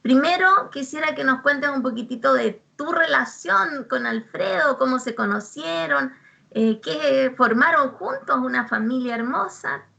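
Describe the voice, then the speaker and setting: A woman with a Chilean accent, hosting a spiritual interview in a gentle, warm way. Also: high voice, lively intonation, gravelly. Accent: Chilean Spanish. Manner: slow, gentle and warm, laughs lightly. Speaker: host, spiritual guide.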